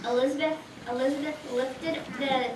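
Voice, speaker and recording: A woman with a high voice narrates a storybook tale on stage. She sounds far from the mic in an echoey room with clear noise. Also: steady tone, normal speed. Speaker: puppet show narrator. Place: live stage in a roomy hall, far mic. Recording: distant, echoey, noisy live recording.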